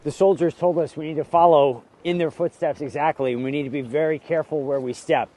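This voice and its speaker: An American man working as a TV reporter, speaking emphatically and clearly on location outdoors. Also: on the high side, fairly even intonation, quick pace, mellow. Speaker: TV news reporter. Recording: faint outdoor background noise.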